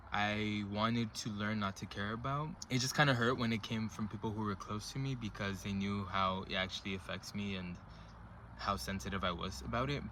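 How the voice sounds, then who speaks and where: A young man in his twenties talks straight to camera in a loose, rambling way, full of fillers and restarts. His voice sits on the low side, with a faint hiss of background noise underneath. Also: low-quality recording. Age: 20s. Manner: relaxed, rambling, filler-heavy talk. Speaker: vlogger. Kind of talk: casual personal storytelling to camera.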